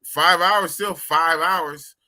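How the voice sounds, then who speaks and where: A man hosting a live stream, talking loudly and casually with a humble manner. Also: fairly high pitch, steady tone, over a noisy crowd. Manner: loud, slow drawl, humble.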